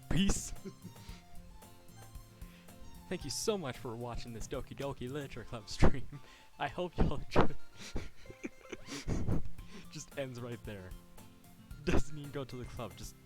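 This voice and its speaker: A man's voice: mid-range voice, expressive, slow, long pauses. A male streamer with a slight Irish-sounding lilt, reading a game aloud live, giggly and rambling. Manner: giggly, rambling, self-interrupting. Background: game music.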